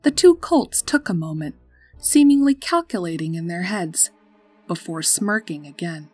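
A woman's voice: on the low side, lively intonation, normal speed, darkish tone, husky, no echo. A woman narrating a scripted story as its storyteller. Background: music.

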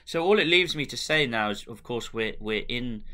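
A middle-aged British man giving a semi-formal thank-you address to camera, speaking in measured phrases with pauses. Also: medium pitch, lively intonation, sharp tone. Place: small indoor room with bookshelves, at home. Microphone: webcam at desk distance.

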